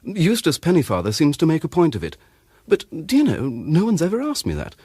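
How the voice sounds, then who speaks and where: An actor playing a courteous, reticent gentleman witness with a British accent, speaking politely and hesitantly in a radio drama. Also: on the high side, wildly swooping pitch, fairly quick, husky, no room sound, studio quality, full character voice. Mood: defensive. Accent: British English.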